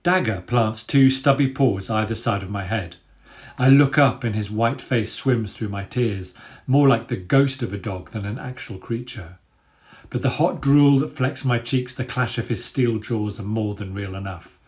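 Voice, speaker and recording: A man, an author telling and reading a children's adventure story aloud in an expressive storytelling style. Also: lowish voice, moderate pace.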